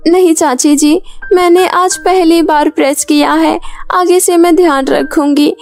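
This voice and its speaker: A woman's voice: extremely high pitch, fairly even intonation, measured pace, darkish tone, faint music behind, voice pushed up. A woman voicing a meek, kind young orphan girl in a cartoon, polite and timid. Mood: pleading. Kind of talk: cartoon voice acting.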